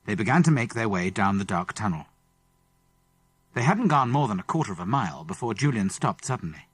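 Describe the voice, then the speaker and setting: A man's voice: on the low side, very animated, fairly quick, slightly rough, dry recording. A man with a British English accent narrating a children's adventure story dramatically. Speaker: audiobook narrator. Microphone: close studio microphone.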